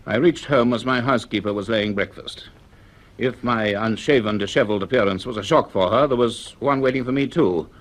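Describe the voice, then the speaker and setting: An actor playing an earnest, uneasy university scientist in a radio drama, speaking in an educated, hesitant, measured way. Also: male voice, mid-range voice, natural voice. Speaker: lead character and narrator. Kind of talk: radio drama dialogue and narration.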